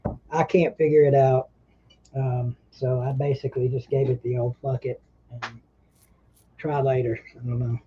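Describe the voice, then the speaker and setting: A man's voice: mid-range voice, flat delivery, moderate pace, pauses often, breathy edge, highs cut off. A man hosting a casual livestream chat, rambling and laid-back, a bit short of breath. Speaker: livestream host.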